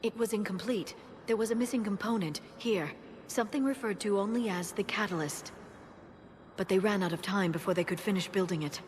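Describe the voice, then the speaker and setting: Actress as careful scientist, medium-pitched female voice, measured, earnest, reverberant chamber, game drama. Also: slight background noise, lightly acted. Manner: measured, explanatory. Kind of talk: dramatic video game acting. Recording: echoing hall, faint background ambience.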